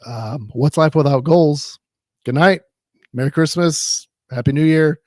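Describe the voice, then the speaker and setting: A hoarse man who is losing his voice, hosting a casual podcast and signing off briskly. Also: fairly high pitch, darkish tone, no echo. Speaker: podcast host.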